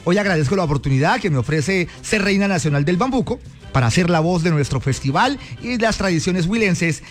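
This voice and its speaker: A man's voice: high-pitched, expressive, brisk, faint music behind. A man hosting a morning radio show, upbeat and chatty with listeners. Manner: upbeat, reads listener messages. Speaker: radio host.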